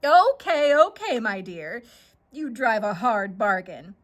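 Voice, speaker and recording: A woman's voice: on the high side, sing-song, slow pace. A woman voicing a short, round, grinning stranger, a wheedling trader with gushing sales patter, in a children's story. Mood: haggling.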